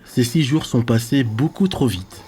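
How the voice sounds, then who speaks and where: A man in his thirties from Belgium, calmly narrating a scripted travel voice-over. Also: mid-range voice. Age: 30s. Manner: calm, measured storytelling. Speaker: vlogger. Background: music bed.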